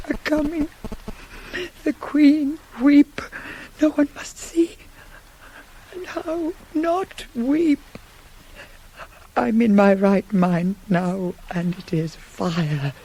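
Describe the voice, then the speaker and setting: An actress playing an anguished, wasting queen in a Greek tragedy for radio, with a tormented, breathy delivery. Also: a little high, dark tone, full character voice, rough recording. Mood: weeping anguish. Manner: slow, breathy, tormented delivery, often near whispering. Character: anguished queen, wasting away with forbidden love. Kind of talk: 1980s radio drama acting of Greek tragedy. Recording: hissy cassette of radio broadcast.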